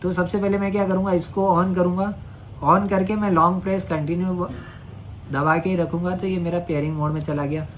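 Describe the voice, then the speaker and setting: A man's voice: high-pitched, flat delivery, brisk. A man from India presents a hands-on product tutorial. He talks in an instructional way, going step by step and repeating himself. Some ambient noise sits behind his voice. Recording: clear background noise.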